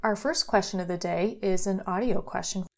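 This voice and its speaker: A woman's voice: medium pitch, moderate pace, loud music behind the voice. A woman co-hosting a podcast as a cosmetic scientist, chatting casually and making joking asides. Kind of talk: casual chat.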